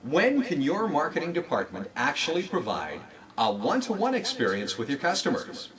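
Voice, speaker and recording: A man's voice: a little high, wildly swooping pitch, moderate pace, fairly bright tone, recorded from a distance, a little hiss or hum, low-quality recording. A man presenting a scripted training talk with emphatic, salesman-like phrasing. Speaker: presenter, retail announcement trainer. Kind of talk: scripted instructional narration with acted examples. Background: music.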